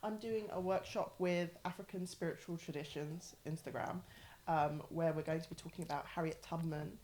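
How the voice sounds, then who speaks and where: A woman's voice: low voice, steady tone, normal speed, darkish tone, poor audio. Laughing woman, probing questions. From Forest Gate, East London. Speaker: guest, astrology reader. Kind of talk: casual podcast chat.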